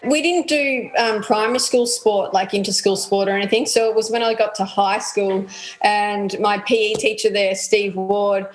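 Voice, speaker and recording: A relaxed Australian woman in her thirties answers interview questions casually, trailing off with ums and yeahs. Her voice sits at a middling pitch and carries a noticeable room echo. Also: moderate pace. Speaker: athlete guest.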